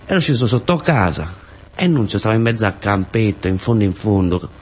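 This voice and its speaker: A man's voice: lowish voice, lively intonation, a little fast, darkish tone, husky. A man with a southern Italian accent narrating a colloquial theatrical monologue, with conversational asides and repetitions. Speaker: storyteller and stage actor.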